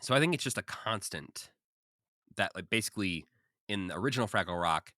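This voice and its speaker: A man's voice: lowish voice, lively intonation, brisk, pushed and bright, dry recording, clean studio sound. A man co-hosting a podcast, casually recapping and commenting with a wry, joking manner. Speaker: podcast co-host.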